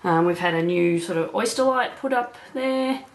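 A woman's voice: on the low side, expressive, normal speed, slight background noise. A woman giving a chatty, upbeat walkthrough of a house renovation, filmed on a handheld camera. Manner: chatty, upbeat, full of fillers. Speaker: property investor renovating a house. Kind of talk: walkthrough vlog narration. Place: empty house under renovation, indoors. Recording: echoey bare rooms.

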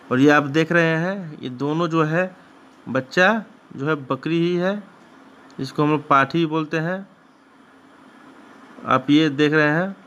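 A man's voice: fairly high pitch, measured pace, long pauses, fairly bright tone, highs cut off. A man, hosting his own channel and casually narrating footage from his goat shed in a rambling, repetitive way with frequent restarts. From Bihar, India. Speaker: goat farmer and channel host.

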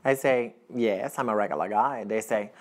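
A man's voice: moderate pace, lightly acted. An actor playing a naive young Colombian man in an apartment kitchen, speaking earnest broken English with a Colombian Spanish accent. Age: young adult. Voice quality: earnest, broken English, sudden pitch jumps. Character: naive male Colombian teacher with strong accent. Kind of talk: deadpan comedy sketch acting.

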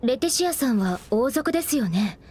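A friendly young space officer in a game cutscene, her voice sitting a bit high. She explains things in a polite, earnest way. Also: big pitch swings, moderate pace, a little mellow, husky. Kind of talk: video game cutscene voice acting.

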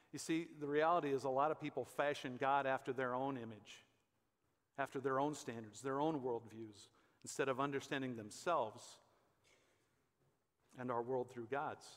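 An American man in his fifties, a pastor preaching conversationally and emphatically from the stage of a large church auditorium. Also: medium pitch. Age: 50s. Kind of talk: conversational sermon. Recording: echoey hall with long reverb.

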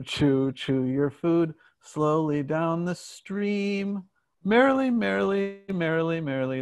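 Man playing a slow, courteous robotic servant table. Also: mid-range voice. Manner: halting, apologetic, sing-song at times. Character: polite, servile talking smart table that sings advice. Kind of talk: play reading. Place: indoors at home, on a video call.

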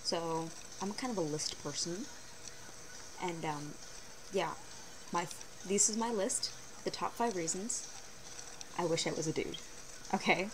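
A teenage girl vlogging from her bedroom, talking casually and animatedly with sarcastic asides in a fairly low voice for a girl. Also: a little slow, pauses often. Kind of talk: casual webcam vlog. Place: small bedroom, indoors. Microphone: built-in webcam mic. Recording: noisy low-quality webcam recording.

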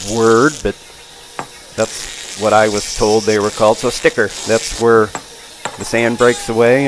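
A man in his sixties casually narrating hands-on shop work in a folksy, plainspoken way. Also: mid-range voice. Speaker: machinist and channel host. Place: machine shop, indoors. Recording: clear machine and tool noise. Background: machinery and power tools.

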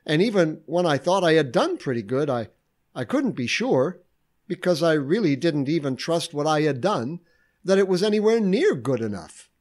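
Man preaching with lively rising pitch. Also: normal speed. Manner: reflective, lively preaching with rhetorical questions. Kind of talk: short devotional sermon.

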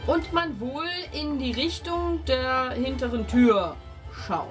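A woman's voice: high voice, slow pace. A woman narrating a tabletop game as game master, improvising as she goes. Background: music.

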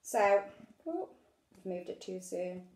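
British woman, middle-aged, casual craft tutorial presenter. Unhurried delivery, recorded in an echoey room at home. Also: fairly low pitch, big pitch swings, darkish tone. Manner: unhurried, talking while working with her hands. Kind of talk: casual hands-on craft demonstration. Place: indoors at a dining-room table at home.

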